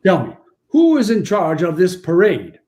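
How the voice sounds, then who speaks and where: An actor playing a dignified, easily indignant old gentleman with a formal, scolding manner in a radio play. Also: sits high, huge pitch swings, moderate pace. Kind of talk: 1940s-style radio play acting.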